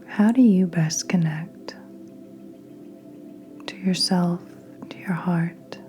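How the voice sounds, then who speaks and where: A woman guiding a meditation in a soft, breathy near-whisper, gentle and soothing, with long unhurried pauses. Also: fairly low pitch. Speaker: podcast host and meditation guide. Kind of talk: guided meditation narration. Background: soft meditation music with singing bowls.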